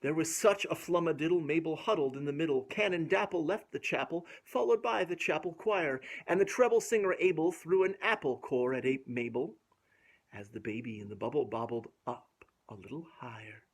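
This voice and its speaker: A man reading a rhyming picture book aloud to young children in a playful, expressive way with dramatic emphasis. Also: a little high, brisk. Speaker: children's storyteller.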